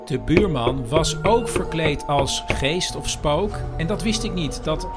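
A podcast narrator speaks in a medium-pitched voice. The style is voice-over narration. Music runs clearly underneath. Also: animated, slow pace.

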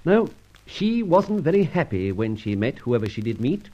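An actor playing a suave, unflappable British gentleman adventurer in an old radio serial, well-spoken, wordy and ironically polite. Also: medium pitch, very animated, normal speed, lo-fi sound. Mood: breezy, decisive.

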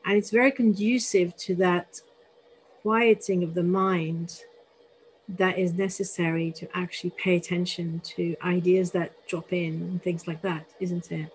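A woman's voice: fairly low pitch, level tone, measured pace, slightly rough, low-quality recording. A woman hosting a conversational interview, asking questions and sharing her own reflections. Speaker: interviewer, host.

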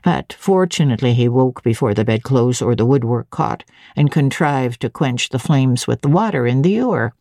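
Audiobook servant woman, voiced by a female reader: very low, mellow, stolid and composed, with guarded, pointed phrasing. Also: lively intonation, no echo, clean studio sound, full character voice. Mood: flat, composed. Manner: phlegmatic, measured, insinuating.

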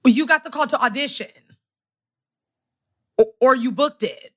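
A woman hosting an interview, reacting with expressive exclamations and surprised questions. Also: sits high, measured pace. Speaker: podcast host. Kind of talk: casual conversational interview.

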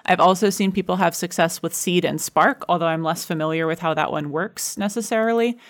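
Low-pitched American woman, interview guest. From United States. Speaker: podcast guest, show creator. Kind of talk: casual interview talk.

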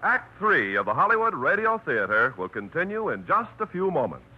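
Male radio announcer, formal, smooth, lo-fi old broadcast sound. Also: on the high side, big pitch swings, normal speed, over music. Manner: smooth, earnest announcer delivery.

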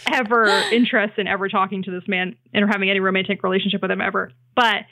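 A woman's voice: mid-range voice, steady tone, fast talker, vocal fry, pushed and bright. A woman from New Jersey chatting informally as a podcast guest, peppering her sentences with "like". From New Jersey, United States. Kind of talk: casual conversational chat.

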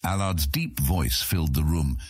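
A man's voice: a bass voice, measured pace, husky, no room sound, studio quality. A man reading scripted narration for a fantasy audiobook.

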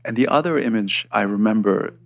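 A man's voice: lowish voice, brisk, gravelly. A man in his forties, a politics professor on a panel, recalling memories thoughtfully. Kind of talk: reflective panel talk.

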